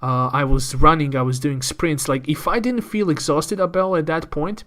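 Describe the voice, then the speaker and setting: Man telling his story, halting delivery. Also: on the high side, fairly even intonation, a bit bright. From Croatia. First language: Croatian. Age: 30s. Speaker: podcast guest. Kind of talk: candid personal storytelling in an interview.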